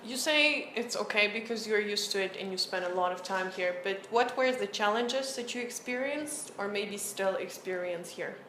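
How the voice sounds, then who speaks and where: A woman interviewer asking gentle, prompting follow-up questions. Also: medium pitch, moderate pace, hardly any pauses. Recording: echoey room, faint noise.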